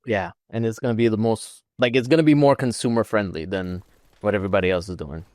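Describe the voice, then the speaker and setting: A man's voice: on the low side, quick pace, a little mellow, no echo, clean studio sound. A man co-hosting a casual gaming podcast, talking in a rambling, opinionated way peppered with "like". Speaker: podcast co-host.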